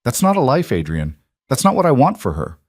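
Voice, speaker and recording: A man's voice: mid-range voice, wildly swooping pitch, brisk, no echo, studio quality, pitched above the performer's own voice. Man voicing a weary, defiant estranged wife. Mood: worried. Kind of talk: audiobook character dialogue.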